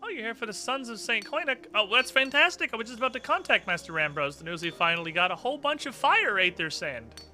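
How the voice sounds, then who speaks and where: A man's voice: high voice, big pitch swings, fast, few pauses, bright, forceful tone, voice pushed up, full character voice. A man voicing a polite, welcoming female shopkeeper who gets flustered and stammers in customer-service talk. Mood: gushing, welcoming.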